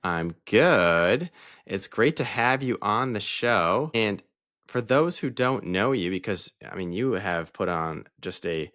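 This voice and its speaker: A man's voice: on the low side, lively intonation, slow, fairly bright tone, no echo, clean studio sound. A man hosting a podcast, upbeat and enthusiastic as he welcomes and questions his guest. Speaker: podcast host.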